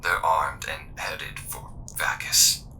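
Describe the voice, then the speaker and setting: Guy doing a grim scout on a noisy comms call, slow terse delivery, breathy but really harsh and tense, audio drama. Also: a bass voice, animated, pushed and bright, exaggerated voice. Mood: grim, urgent. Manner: terse, grave, deliberate. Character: grim, terse male scout defending a frontier space town.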